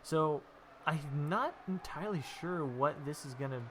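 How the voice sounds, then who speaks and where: A man's voice: a little high, unhurried, fairly bright tone, a little hiss or hum. A young man with a stuffy nose from allergies, rambling casually and telling stories while he plays through a video game. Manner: rambling, chatty storytelling while playing. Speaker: gameplay video host. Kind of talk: casual gameplay commentary.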